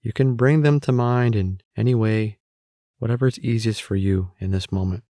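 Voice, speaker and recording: A man guiding a meditation with calm, measured, gentle spoken instructions. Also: lowish voice, dry recording, studio quality. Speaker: meditation guide.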